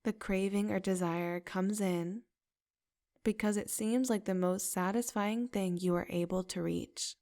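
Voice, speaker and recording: Brief: woman, meditation guide, very slow delivery, gravelly fry, soft affirming tone. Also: mid-range voice, steady tone.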